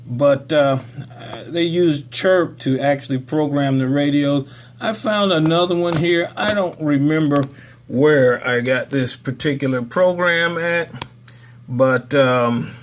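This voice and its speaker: Casual man with a slightly high voice. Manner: folksy, rambling, thinking aloud. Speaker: presenter of a software tutorial. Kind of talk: casual unscripted how-to walkthrough.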